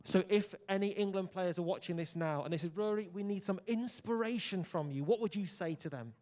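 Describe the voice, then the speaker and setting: A man's voice: high voice, a little fast, darkish tone. A young man presenting live from an outdoor fan zone, upbeat and joking as he chats with fans at their tables. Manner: upbeat, hyped, jokey with guests. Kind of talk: energetic outdoor broadcast chat.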